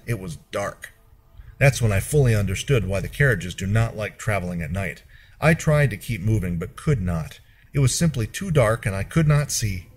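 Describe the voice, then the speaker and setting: A man narrating a first-person fantasy story in a measured, expressive storyteller's delivery. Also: lowish voice, breathy edge.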